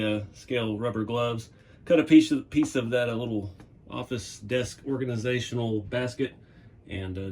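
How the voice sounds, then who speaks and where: A man hosting a hobby vlog from his workshop, casually rambling and chuckling, his voice going hoarse. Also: mid-range voice. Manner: relaxed, rambling, chuckles often. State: losing his voice. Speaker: hobby channel host. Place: home workshop.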